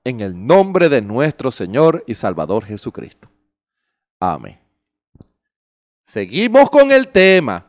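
A man's voice: medium pitch, huge pitch swings, takes it slowly, long pauses, dry recording. A middle-aged man preaching a sermon into a handheld mic on a small indoor stage, emphatic and full of rhetorical questions.

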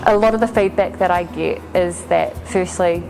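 A British woman in her thirties speaks in an interview testimonial. Her voice sits a little low, and music plays clearly behind her. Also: normal speed. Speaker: agricultural bank relationship manager. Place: indoor milking parlour. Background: background music.

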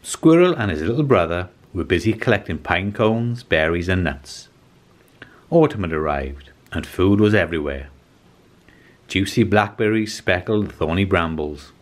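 A man in his forties reading a children's storybook aloud as scripted narration, in a measured, expressive way. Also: low voice. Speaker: children's storybook reader and host.

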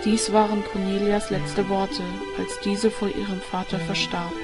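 A woman's voice: medium pitch, flat delivery, a little slow, over loud music. A woman reading scripted narration for an audio drama.

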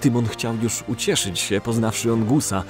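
A man's voice: medium pitch, moderate pace, over music. A man narrating a scripted fantasy audio story.

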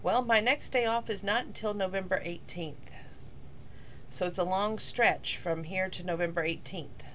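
A middle-aged woman, slightly hoarse from losing her voice, rambling casually in a talking-to-camera vlog with lots of fillers. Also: medium pitch, normal speed, fairly bright tone, slight background noise. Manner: rambling, chatty, with many fillers. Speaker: vlogger.

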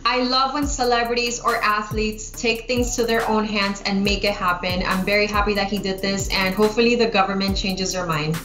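A woman's voice: medium pitch, fairly even intonation, a little fast, hardly any pauses. A young woman co-hosting a casual sports chat over a video call, upbeat and chatty. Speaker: co-host. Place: home room, video call. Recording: roomy echo, faint music behind.

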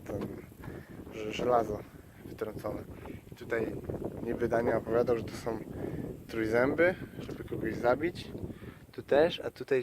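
A man giving casual, halting travel vlog commentary outdoors, often searching for his words. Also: mid-range voice. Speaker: travel vlogger. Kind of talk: casual vlog commentary while showing sights. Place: outdoors by a lake and stream. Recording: loud wind and water noise outdoors. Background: wind, water noise.

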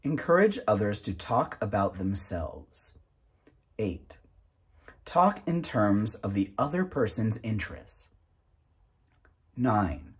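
A man in his thirties reading aloud from a self-help booklet in a deliberate, emphatic manner, occasionally stumbling over the text. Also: on the low side, a little mellow, slightly rough. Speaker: narrator reading a book aloud.

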